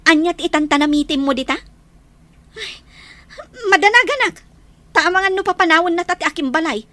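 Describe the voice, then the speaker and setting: A woman playing an anxious, sharp-tongued character in an audio drama, fretting and nagging with an Ilocano Filipino accent. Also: extremely high pitch, fairly quick, no echo. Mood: fretful, nagging. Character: high-voiced, anxious, sharp-tongued woman short of money.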